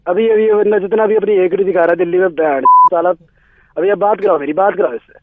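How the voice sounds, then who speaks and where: A boastful Delhi tough guy acted out by a man blusters in a high male voice over a tinny phone line. He swaggers and threatens in street slang, cutting in as he goes, for comic effect. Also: quick pace, background noise, lightly acted. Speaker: radio prank caller. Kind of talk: comic prank phone call.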